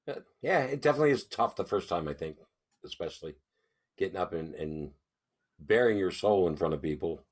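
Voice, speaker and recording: A man's voice: deep voice, animated, a little fast. A man hosting a casual music chat, easygoing with short affirmations. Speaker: host.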